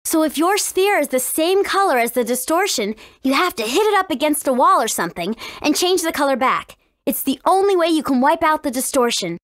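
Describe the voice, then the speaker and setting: A woman voicing a brave, friendly teenage warrior princess in a video game, with an earnest, clearly spoken manner. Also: sits high, lively intonation, a little fast, few pauses, no room sound, studio quality. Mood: explaining, earnest. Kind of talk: scripted video game character dialogue.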